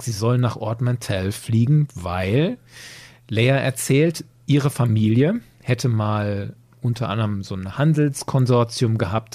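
A man's voice: fairly low pitch, darkish tone. A man hosting a podcast, retelling a story in a conversational way. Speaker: podcast host.

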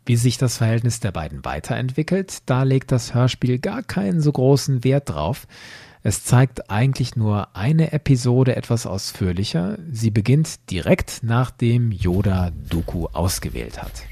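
A man's voice: medium pitch, very animated, normal speed, gravelly, warm tone, no echo. A man speaking as a podcast host, giving scripted commentary on a story.

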